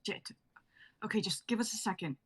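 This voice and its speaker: A woman's voice: medium pitch, expressive, fairly quick, breathy edge. A woman in her twenties reading dramatic lines in a blunt, sweary way. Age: 20s. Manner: blunt, sweary line delivery. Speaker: actor in a script reading.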